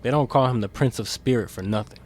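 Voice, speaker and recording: A man's voice: mid-range voice, fast talker, darkish tone, lightly acted. A man playing a guarded, conscience-stricken demon in an audio drama, earnest and defensive in manner. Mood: guarded, wary. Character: guarded, conscience-stricken demon insider smuggling secrets to captive.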